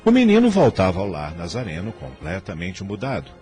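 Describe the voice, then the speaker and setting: A man's voice: deep voice, wildly swooping pitch, fairly quick, dark tone. A man with a Brazilian accent giving solemn, literary narration for a radio drama. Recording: music bed under narration.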